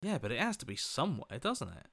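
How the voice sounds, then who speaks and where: A man's voice: medium pitch, very animated, fast, creaky, a bit bright, exaggerated voice. A man voicing an earnest, questioning heroic inner voice in a video game, reasoning things out as he talks. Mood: puzzled, questioning.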